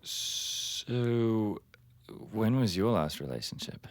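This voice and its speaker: Low male voice, slow and halting, easygoing awkward young lover, intimate audio drama. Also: expressive, dry recording. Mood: casual, thoughtful. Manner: halting, casual, tentative.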